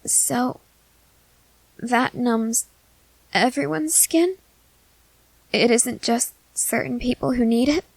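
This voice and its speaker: A woman playing a timid, gentle newly turned vampire in an ASMR roleplay, speaking hesitantly and haltingly. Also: a little high, vocal fry, husky, no room sound, heavily acted, poor audio. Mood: uneasy, squeamish. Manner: hesitant, halting, soft-hearted.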